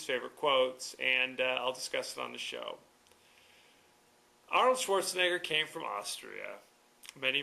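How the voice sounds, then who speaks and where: A man's voice: mid-range voice, vocal fry, bright, forceful tone, poor audio. A man hosting a movie podcast alone, talking casually in a wry, rambling way with chuckling asides. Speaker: podcast host. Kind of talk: casual solo movie review.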